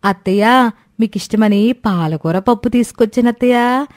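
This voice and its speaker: A woman's voice: on the high side, moderate pace, dry recording, studio quality, pitched above the performer's own voice, close to an everyday voice. A woman voicing a greedy young daughter-in-law, gushing and calculating, in a cartoon moral story. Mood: gushing, eager.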